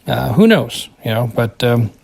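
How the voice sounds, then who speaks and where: A man's voice: mid-range voice, sing-song, a little slow, creaky, darkish tone, breathy edge. An American man giving casual monologue commentary, rambling and hesitant as he thinks aloud. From United States. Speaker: podcast contributor reviewing a TV episode.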